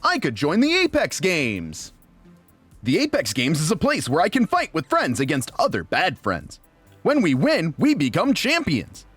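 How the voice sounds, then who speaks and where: A man's voice: a little high, fairly bright tone, dry recording, heavily acted. A man voicing a cheerful, naive, friendly robot who narrates an audiobook in an earnest, guileless way.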